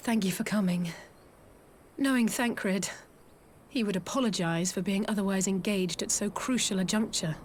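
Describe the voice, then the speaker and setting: A woman's voice: mid-range voice, normal speed, slight background noise, close to an everyday voice. A woman playing a forthright, determined young noblewoman in a video game, direct and articulate. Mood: serious, resolute. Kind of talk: scripted video game cutscene acting.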